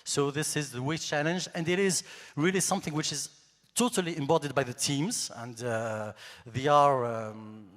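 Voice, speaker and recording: A man's voice: on the high side, moderate pace, a bit bright, big-room sound. A man in his fifties, born in Nigeria and raised in Greece, gives an earnest, step-by-step talk to students about his career as a luxury executive. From born in Nigeria, grew up in Greece. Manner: earnest, methodical, lists facts step by step. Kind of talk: conference presentation. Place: large conference hall.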